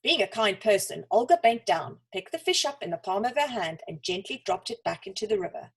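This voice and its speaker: A woman's voice: mid-range voice, expressive, brisk, husky. A woman reading a children's story aloud as a storyteller. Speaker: school storyteller.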